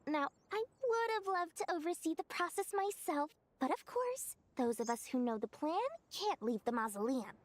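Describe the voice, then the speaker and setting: A little merchant lady from a video game, high-pitched and very animated. She gushes, wheedles and dramatizes everything, always with money on her mind. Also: moderate pace, few pauses, no echo. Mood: gushing. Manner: exaggerated, dramatic delivery. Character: small, theatrical, money-minded female merchant, gushing and wheedling.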